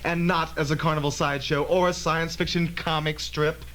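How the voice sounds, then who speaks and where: Radio drama physicist, acted by a man: brash, impatient, insistent, somewhat high male voice, old-fashioned emphatic delivery. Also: level tone, moderate pace, a bit bright, slight background noise, natural voice. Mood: curt, disdainful.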